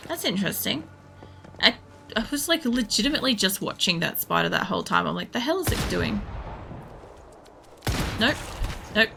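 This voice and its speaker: A woman with a creaky voice, giving casual, rambling, sweary gameplay commentary while thinking aloud as she streams. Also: medium pitch, big pitch swings, moderate pace, long pauses, music in the background. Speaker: game streamer.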